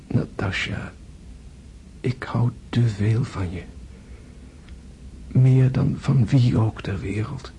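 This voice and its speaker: Wounded dying prince, radio drama, mellow creaky voice, weak and dreamy, halting, bit of noise behind. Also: male voice, mid-range voice. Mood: tender, yearning. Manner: hushed, halting, intense delivery, often whispering. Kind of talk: Dutch radio drama acting.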